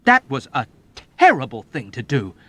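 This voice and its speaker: A man's voice: a little high, wildly swooping pitch, moderate pace, no echo, pitched above the performer's own voice, heavily acted. A man doing an outraged, earnest young soldier. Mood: indignant. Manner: loud, outraged, stammering protests.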